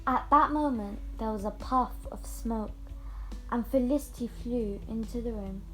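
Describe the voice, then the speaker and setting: Female storybook reader, high-pitched, very bright, slower pace, expressive fairy-tale narration. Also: soft background music, rough recording. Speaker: storyteller reading aloud.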